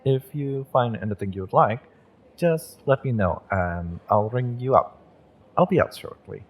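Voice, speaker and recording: A man playing a kind, wistful witch and tarot shopkeeper in a roleplay audio, speaking to the listener in a gentle, encouraging, unhurried way. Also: mid-range voice. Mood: appreciative, friendly.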